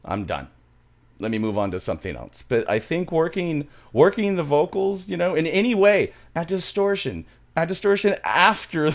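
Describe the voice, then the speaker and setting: A middle-aged man hosting a music production tutorial in a casual, rambling way, often repeating his phrases. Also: on the high side. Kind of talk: casual screen-share tutorial talk. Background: music from the software.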